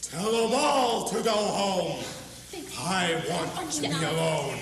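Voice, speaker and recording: Vain theatrical playwright, played by a young man, high-ish, slow and grandiose; distant live hall recording with a loud crowd. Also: big pitch swings, full character voice. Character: vain weary male playwright, theatrical and self-pitying. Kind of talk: amateur stage acting. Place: school auditorium stage. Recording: distant, echoey hall with loud audience noise.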